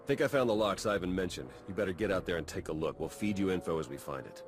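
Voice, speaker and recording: An actor playing a blunt, casual soldier in a science fiction game cutscene. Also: male voice, sits low, fast talker, soft background music, lightly acted. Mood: dry. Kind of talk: video game cutscene acting.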